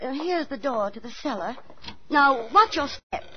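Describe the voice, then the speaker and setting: A woman playing a fretful, kindly, middle-aged landlady and former actress in a 1940s radio drama, theatrical and expressive. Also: sits high, measured pace, a little hiss or hum. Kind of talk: 1940s radio acting and first-person narration. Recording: muffled 1940s radio recording with music.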